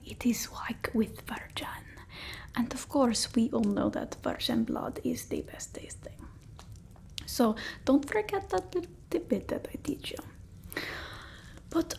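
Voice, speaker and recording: Woman playing a whispering, haughty vampire mistress. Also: fairly high pitch. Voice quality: breathy whisper, soft voiced in places. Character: haughty fussy female vampire mistress instructing her familiar. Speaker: ASMR creator. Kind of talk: whispered ASMR roleplay.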